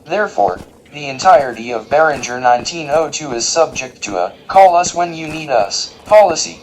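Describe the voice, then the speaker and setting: A man playing a polite, literal-minded robot guide in an audio drama, speaking in a formal, precise and deadpan way. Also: a little high, moderate pace, slight background noise, close to an everyday voice, poor audio. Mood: factual, deadpan.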